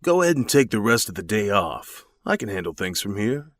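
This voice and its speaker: A man's voice: fairly low pitch, big pitch swings, brisk, fairly bright tone, no room sound, clean studio sound. A man playing an easygoing new shop employee in an audio drama, talking in a casual, conversational way. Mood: easygoing, reassuring.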